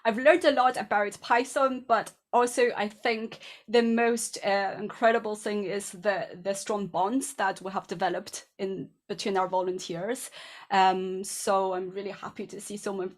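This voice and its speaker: A woman's voice: mid-range voice, steady tone, a little slow. A woman introducing herself as a board candidate at an online meeting, speaking with enthusiasm and frequent fillers.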